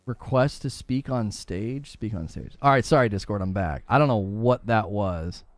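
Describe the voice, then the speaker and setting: A man's voice: lowish voice, moderate pace, dry recording. A man hosting a gaming livestream, talking casually and informally to his viewers and reading their comments aloud. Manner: informal, slangy, addresses viewers directly. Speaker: gaming podcast host and livestreamer.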